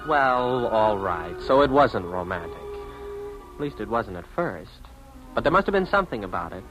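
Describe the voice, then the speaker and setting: A man's voice: fairly low pitch, big pitch swings, moderate pace, over loud music. An actor playing an awkward, bashful young bachelor in an old-time radio drama, hesitant and wry as he tells his own story. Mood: wry, confiding. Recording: noisy vintage radio recording.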